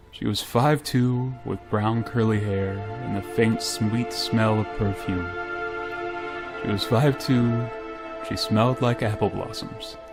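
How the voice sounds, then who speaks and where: An actor playing a troubled, dutiful space pilot who narrates in a terse, grave way in a radio play. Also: male voice, lowish voice. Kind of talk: dramatic radio play acting with first-person narration.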